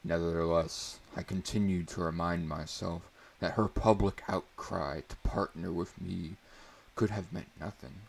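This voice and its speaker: Low, gravelly man narrating slowly. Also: steady tone. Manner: measured, theatrical reading. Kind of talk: dramatic scripted story narration.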